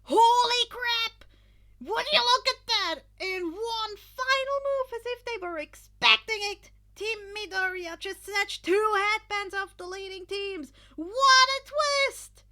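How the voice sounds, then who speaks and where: Male sports commentator played by a woman, loud, over-excited, high and piercing, anime-style audio drama. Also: takes it slowly, exaggerated voice. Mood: thrilled. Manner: booming, hyped-up delivery.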